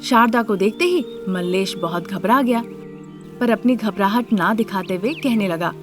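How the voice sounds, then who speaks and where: A woman's voice: medium pitch, normal speed, music in the background. A woman reading scripted story narration as a narrator.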